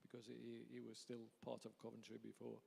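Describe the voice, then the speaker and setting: A former footballer guest speaks softly on stage, hesitating a little between thoughts. His mid-pitched voice is full of gravelly fry. The long reverb of the hall surrounds him. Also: completely flat delivery, brisk. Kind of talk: stage interview. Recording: echoey hall.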